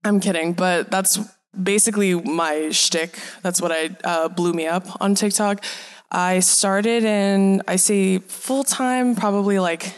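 A woman's voice: medium pitch, steady tone, takes it slowly, smooth. A woman, a comedy creator, introducing herself with a wry, joking manner on a live panel.

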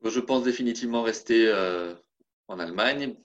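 A man's voice: lowish voice, moderate pace, highs cut off. A man from France speaking in a casual interview, hesitant and full of restarts. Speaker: interview guest.

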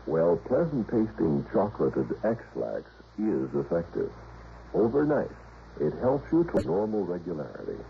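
A man reading a 1960s radio advertisement as an announcer, measured and persuasive, on an old recording. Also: deep voice, huge pitch swings, vocal fry, highs cut off, a little hiss or hum. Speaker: commercial announcer.

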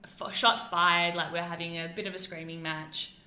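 A woman's voice: lowish voice, flat delivery, normal speed, bright, forceful tone, reverberant. A young woman from the UK with a mixed British and Australian accent, chatting openly as a podcast guest with frequent fillers and laughs. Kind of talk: casual interview chat.

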